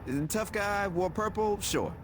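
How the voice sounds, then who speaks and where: Sardonic tough gang boss, noisy backdrop. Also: male voice, high voice, lively intonation, moderate pace. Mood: dry sarcasm. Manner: sardonic, brusque, distrustful. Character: tough, cynical, sarcastic male gang boss in prison. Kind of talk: video game voice acting. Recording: game audio over vehicle and ambient noise.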